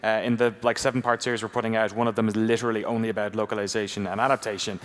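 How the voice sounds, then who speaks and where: A man's voice: on the low side, level tone, very fast, big-room sound. A man moderating a game panel, upbeat and enthusiastic.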